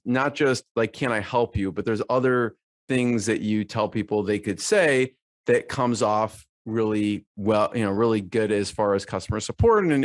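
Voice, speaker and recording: A man's voice: mid-range voice, dry recording. A man hosting an interview, asking questions in an informal, meandering, conversational way. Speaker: podcast host, interviewer.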